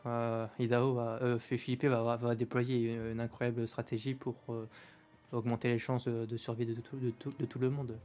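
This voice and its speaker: A man in his own voice at a middle pitch, closing out a tabletop game session. He laughs often and stumbles into restarts as he talks. Soft music plays faintly behind him. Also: little pitch movement, normal speed. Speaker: game master.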